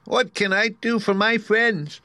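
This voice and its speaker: A man doing a squeaky little mouse queen. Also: a little slow, sharp tone, dry recording. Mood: kindly. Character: tiny squeaky female mouse queen, kindly.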